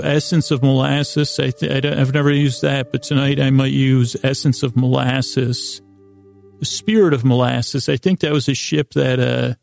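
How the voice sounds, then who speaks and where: Creaky-voiced man rambling soothingly. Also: mid-range voice, a little mellow. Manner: meandering, stammering, with repeated false starts and tangents; lulling, soothing delivery. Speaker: host of a bedtime sleep podcast. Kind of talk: rambling improvised bedtime monologue.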